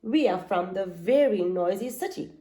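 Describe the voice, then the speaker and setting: A woman's voice: medium pitch, lively intonation, moderate pace, mellow, natural voice. A woman voicing three noisy young foxes who fuss and get scared easily. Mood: fussy.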